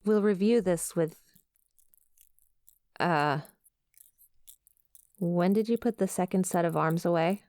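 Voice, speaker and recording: A woman's voice: on the low side, takes it slowly, long pauses, darkish tone, no echo, clean studio sound. A woman playing a stern, uneasy research agent who questions a monster in a clipped, official way in a horror audio roleplay. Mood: firm, uneasy.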